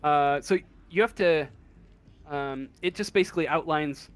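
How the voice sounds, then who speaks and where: Slow, halting male game master, slightly high voice. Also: fairly bright tone. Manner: halting, searching for words. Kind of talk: improvised tabletop game narration. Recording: faint room noise.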